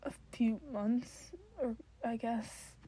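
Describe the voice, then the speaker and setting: This is a guarded teenage half-ghost boy in a story , voiced by a woman reader. The voice is very husky and mellow. He speaks haltingly, awkward and hesitant, often repeating a word before going on. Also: on the high side, steady tone, rough recording.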